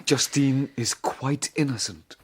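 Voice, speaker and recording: A man's voice: medium pitch, slow, slight background noise, natural voice. A man playing an anguished, guilt-ridden young scientist, theatrical and impassioned, in a dramatised audiobook. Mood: troubled, protesting. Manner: melodramatic, impassioned, archaic phrasing.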